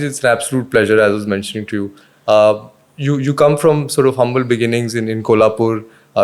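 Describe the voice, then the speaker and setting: A man's voice: fairly low pitch, darkish tone. A man with an Indian accent hosting a podcast interview, speaking politely and conversationally with some hesitant repetition. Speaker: podcast host.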